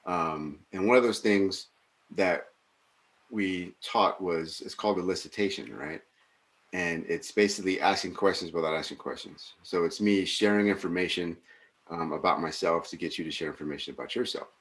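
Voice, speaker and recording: Forties guy with a super deep voice, rambly and thoughtful, lots of ums. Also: moderate pace, fairly bright tone. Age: 40s. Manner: rambling, reflective, many fillers. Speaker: podcast co-host. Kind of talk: casual conversation.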